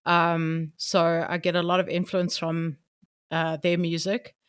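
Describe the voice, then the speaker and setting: A woman's voice: on the low side, level tone, measured pace, clean tone. A woman answering interview questions about her music, hesitant with many fillers. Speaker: band keyboardist.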